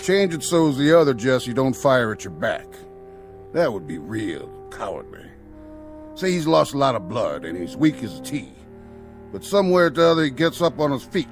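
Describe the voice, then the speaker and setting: A man's voice: medium pitch, very animated, normal speed. A folksy cowboy outlaw drawls, amiable but slyly in charge. His mid-range voice swings freely up and down, as in a radio play, with faint music underneath. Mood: scheming, amiable. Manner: folksy, colloquial cowboy talk. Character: folksy, sly Old West outlaw, cheerfully in charge. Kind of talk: radio drama acting.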